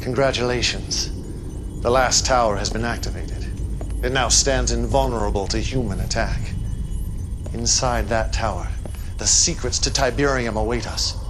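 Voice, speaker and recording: An actor playing a commanding, calmly menacing cult leader in a video game cutscene, speaking with solemn, grandiose deliberation. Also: male voice, mid-range voice, normal speed, noisy background, close to an everyday voice. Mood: solemn approval.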